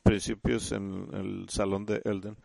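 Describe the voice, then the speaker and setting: A man giving a sermon in halting speech, often restarting and repeating words as he teaches the congregation. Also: on the low side, little pitch movement, vocal fry, darkish tone, no echo. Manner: addresses listeners as "usted". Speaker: church speaker.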